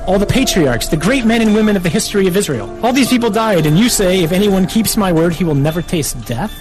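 A priest preaching on a gospel passage, animated and acting out the quoted dialogue. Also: male voice, high-pitched. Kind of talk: sermon on scripture. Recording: distant mic with music underneath.

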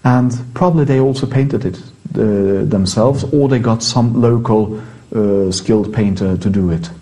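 A Norwegian priest, a man with a Norwegian accent, explains things conversationally in English, halting and rephrasing as he goes. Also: lowish voice, dark tone. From Norway.

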